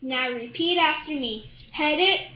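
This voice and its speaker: A woman's voice: sits high, unhurried, roomy sound, lo-fi sound. A woman voicing an eager frog dad from a storybook, urgently calling out and instructing his young son.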